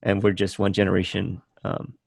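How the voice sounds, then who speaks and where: Man, forties, from vietnam, deep fry-edged voice, husky, casual musing talk, video call. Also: brisk, no room sound, clean studio sound. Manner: relaxed, thinking aloud with many "um" and "right?". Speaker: podcast host. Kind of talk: casual interview chat.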